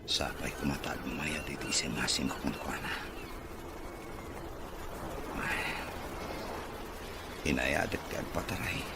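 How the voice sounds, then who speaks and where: An actor playing a bitter, wealthy, distrustful son in a radio drama, brusque and scornful in manner. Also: male voice, very deep voice, unhurried, gravelly, pauses often, heavy background noise, heavily acted. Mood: musing.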